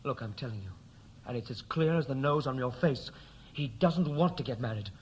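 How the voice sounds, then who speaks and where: An actor playing a defiant, independent adult son, wounded, in a 1960s radio play. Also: male voice, mid-range voice, lively intonation, normal speed, dull audio, close to an everyday voice. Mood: exasperated, blunt. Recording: hissy 1960s radio recording.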